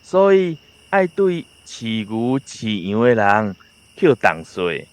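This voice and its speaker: An actor playing a matter-of-fact village man in a radio drama, deliberate and with a Taiwanese accent. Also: fairly low pitch, big pitch swings.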